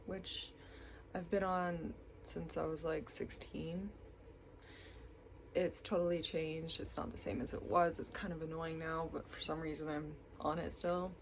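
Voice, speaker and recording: A woman's voice: on the low side, slight background noise. A young woman with a stuffy, congested voice from a cold, chatting casually to her camera with lots of likes and ums. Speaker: vlogger.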